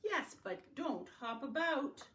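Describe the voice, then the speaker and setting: A woman voicing a mild, firm storybook man who gives measured, gentle cautions. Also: high voice, very animated, pitched above the performer's own voice, full character voice. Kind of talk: picture-book character voice.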